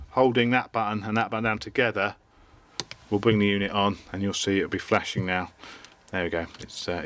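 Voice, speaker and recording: Low-voiced British man reviewing gear. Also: a bit bright. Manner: informal, explanatory. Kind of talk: casual hands-on product review.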